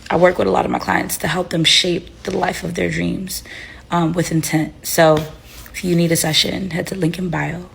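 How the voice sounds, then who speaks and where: Low, gravelly Haitian-born woman coach. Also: little pitch movement, fairly bright tone, slight background noise. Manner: reflective, unhurried, with frequent fillers and restarts. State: unwell with a headache and tired. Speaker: life coach. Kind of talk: casual talking-to-camera advice.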